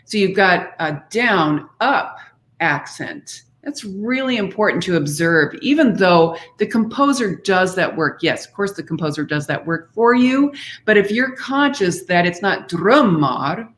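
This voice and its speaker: A woman's voice: fairly low pitch, expressive, unhurried, fairly bright tone. A woman speaking as an interview guest, explaining singing diction conversationally and demonstrating by example. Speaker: interview guest, singer and author.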